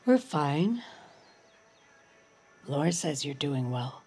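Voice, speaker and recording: Brittle, evasive middle-aged mom for audio drama, low, husky voice, clipped and deflecting. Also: female voice, big pitch swings, slow pace, dark tone, soft background music, exaggerated voice. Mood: guarded. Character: brittle, evasive, worried mother of a grown daughter. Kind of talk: naturalistic audio drama acting.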